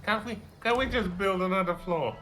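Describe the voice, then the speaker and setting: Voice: medium pitch, fairly quick, sharp tone, soft background music. A co-host of a charity game stream who chats agreeably and often chimes in to agree. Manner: agreeable, often chiming in with "yeah". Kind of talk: casual chat while playing a game.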